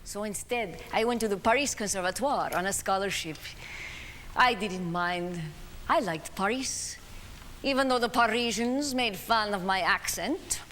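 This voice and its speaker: A woman's voice: mid-range voice, a little slow, fairly bright tone, a little hiss or hum, lightly acted. A woman playing a wistful famous pianist. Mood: reminiscing. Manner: reminiscing, chatty, light laughs. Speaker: stage performer. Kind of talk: one-woman theatrical monologue between piano pieces. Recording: reverberant hall with faint ambience.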